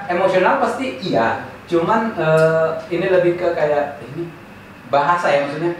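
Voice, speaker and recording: A man's voice: on the high side, normal speed. Man, casual chat host, eastern Indonesian, easygoing questions, roomy far-off sound, faint room noise. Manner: leading questions, frequent fillers and restarts. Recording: echoey room, mic at a distance.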